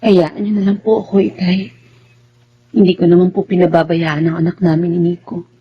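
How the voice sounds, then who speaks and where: A woman's voice: lowish voice, fairly even intonation, fairly quick, breathy edge, dull audio. An actress playing a sorrowful, loyal young wife and mother, pleading in radio melodrama style. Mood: sad, reluctant.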